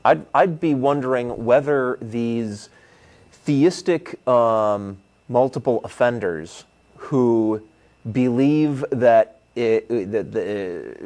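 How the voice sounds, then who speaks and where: A man's voice: medium pitch, slow pace, a little mellow. A man co-hosting a live call-in talk show in a TV studio, musing tentatively about a caller's question.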